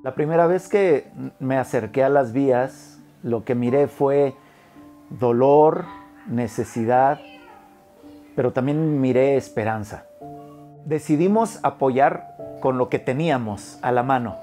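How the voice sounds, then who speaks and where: A middle-aged man speaking calmly and reflectively in a documentary interview, recorded on a lapel mic indoors. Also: mid-range voice, takes it slowly. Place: indoor shelter room. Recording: soft guitar music behind.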